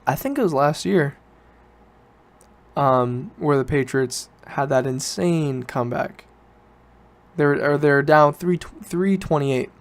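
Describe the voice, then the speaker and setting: Male, late teens, smooth clear voice at a medium pitch, informal solo podcaster who rambles and backtracks. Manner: informal, self-correcting, loosely rambling. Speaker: podcast host. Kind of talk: casual solo podcast chat, rambling storytelling.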